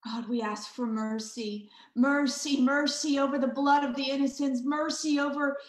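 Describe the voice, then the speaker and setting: A woman's voice: high-pitched, steady tone, normal speed. This is a woman, praying aloud. She pleads earnestly and repeats her appeals for mercy. Her voice carries through a noticeably echoey room. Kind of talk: intercessory prayer.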